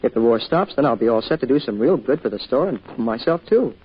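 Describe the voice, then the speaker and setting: An actor playing an eager, earnest young American son in an old-time radio drama, boyish and a little bashful, with a stammering manner. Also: male voice, medium pitch, lively intonation, quick pace, breathy edge. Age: young adult. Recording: dull, hissy old radio recording.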